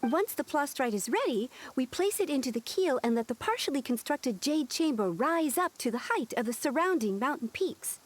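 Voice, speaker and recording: A woman's voice: high-pitched, sing-song, normal speed, hardly any pauses. A woman playing a helpful, businesslike young official in an anime game, explaining things formally. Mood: informative, polite.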